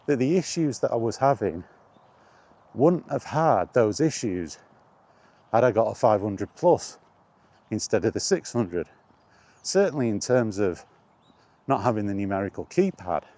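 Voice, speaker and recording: Dark-voiced man chatting casually. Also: medium pitch. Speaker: host of a gaming chat vlog. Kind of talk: casual rambling monologue, reminiscing.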